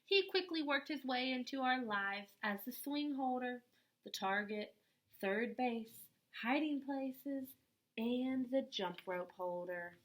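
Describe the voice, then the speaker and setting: Slow-paced woman reading a children's story. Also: a little high. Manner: expressive, deliberate phrasing addressed to young children. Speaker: teacher reading a picture book aloud to children. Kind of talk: children's story read-aloud.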